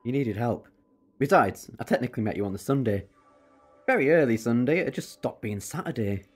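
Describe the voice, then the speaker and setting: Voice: medium pitch, expressive, brisk, darkish tone, husky. An eccentric time traveller from another world in a sci-fi audio drama, voiced by an actor. The delivery is wry and pedantic, yet earnest and persuasive, with a solemn streak. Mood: wry, light. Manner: wry, quibbling, turning solemn.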